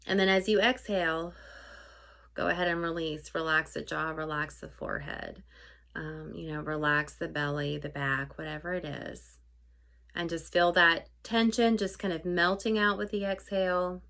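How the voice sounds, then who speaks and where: A woman leading a guided meditation in an informal, conversational way, unscripted, with halting phrasing that trails off softly. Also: on the low side, steady tone, gravelly.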